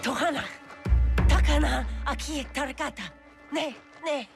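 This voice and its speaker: A woman voicing a frightened female creature who pleads in halting, made-up words in a video game cutscene. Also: high-pitched, vocal fry, music in the background.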